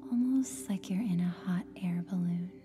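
A woman with a soft, breathy, near-whispered voice guides a meditation in a soothing, gentle way, leaving long pauses between phrases. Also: lowish voice. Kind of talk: guided meditation, scripted narration. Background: meditation music with singing bowl tones.

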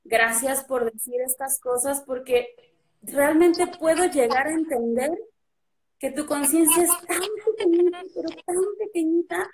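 A woman in her forties with a high voice, speaking earnestly on a webcam video call. She is measured and emphatic and leans on her key words. The delivery is heartfelt. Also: poor audio. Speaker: guest on a live stream. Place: room with a blue backdrop.

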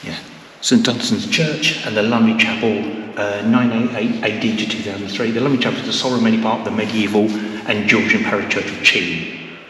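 Middle-aged guy rambling away on a church tour, lowish voice, roomy echo, camera held out at arm's length. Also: steady tone, fairly quick, slight background noise. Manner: enthusiastic, rambling, softly spoken asides. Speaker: vlogger touring historic churches. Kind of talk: casual walking commentary. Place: inside old stone chapels and churches. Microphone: handheld camera at arm's length. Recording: echoey church interior, long reverb.

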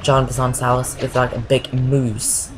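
A woman's voice: very deep voice, little pitch movement, a little slow, background noise. A woman from Norway, not an English speaker, giving rambling casual commentary over a game walkthrough and saying "I guess" a lot. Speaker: gameplay commentator. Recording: voice over loud game music.

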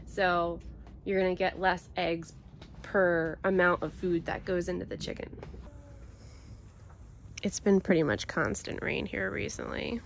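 A woman in her thirties giving a casual, explanatory homestead vlog, unhurried and pausing mid-sentence. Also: lowish voice, fairly even intonation, gravelly. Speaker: homesteading vlogger. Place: outdoors in a covered chicken run and coop. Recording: outdoor ambient noise.

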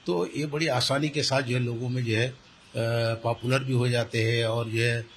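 An older man answers in a news interview. His pitch is mid-range and he explains things with emphatic repetition. Some room noise sits behind his voice. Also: fairly even intonation, moderate pace. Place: small indoor office. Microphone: handheld reporter's microphone held close. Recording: clear background room noise.

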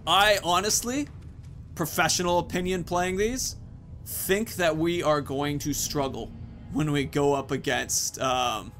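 A man in his thirties talks through a video game in a fairly high-set voice. His commentary is casual and rambling, in informal language, with game music faintly behind him. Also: unhurried, fairly bright tone. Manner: rambling, informal, swears mildly. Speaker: gaming video host.

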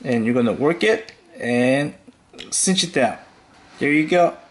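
A man hosting a fishing tutorial, calmly talking through each step with occasional fillers as he ties knots. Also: medium pitch, slight background noise. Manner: slow, relaxed instructional talk with fillers. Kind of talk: step-by-step demonstration voice-over.

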